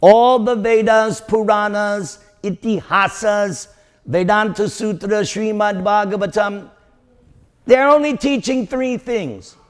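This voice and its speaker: Slow emphatic New York preacher. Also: male voice, high-pitched. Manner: emphatic, repeats key phrases, asks the audience questions. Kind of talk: devotional lecture to a congregation. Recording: long reverb of a hall.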